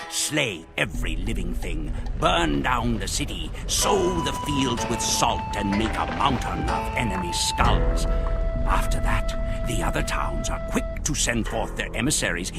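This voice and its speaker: Grim Mongol warrior chronicler: lowish, gravelly, solemn measured game narration. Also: male voice. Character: grim male Mongol warrior recounting the campaign. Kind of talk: scripted dramatic narration. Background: music.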